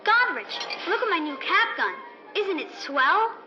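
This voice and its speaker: A woman's voice: very high voice, sing-song, measured pace, muffled recording, music in the background, full character voice. A woman playing an eager, pouty young American boy in an old-time radio drama. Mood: excited.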